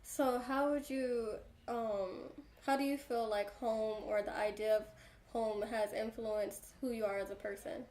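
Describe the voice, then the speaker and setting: A woman's voice: a little high, fairly even intonation, unhurried, a little mellow. A woman interviewer asking questions hesitantly, often rephrasing them as she goes. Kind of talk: oral history interview. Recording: roomy echo.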